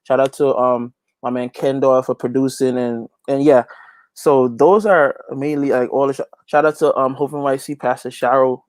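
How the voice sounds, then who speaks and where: A man's voice: medium pitch, steady tone, moderate pace, dry recording. Earnest man, close dry sound. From Guyana. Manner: earnest, emphatic, repeats phrases for emphasis. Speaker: interview guest, gospel reggae singer and youth pastor. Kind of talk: conversational interview, preaching-like testimony.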